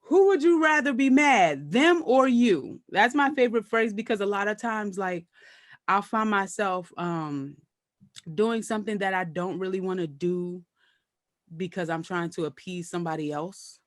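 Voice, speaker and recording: A woman's voice: medium pitch, normal speed, no room sound, clean studio sound. A woman from Brooklyn with a Brooklyn accent, a comedian guest chatting casually and animatedly, often laughing and slipping into voices when she quotes people. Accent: Brooklyn New York. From Brooklyn, New York. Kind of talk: casual chat.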